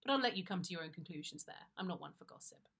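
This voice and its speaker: A middle-aged British woman reading a comic first-person story in a conversational, wry and confiding way with expressive timing. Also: fairly low pitch, very fast, slightly rough. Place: indoors, plain-walled room.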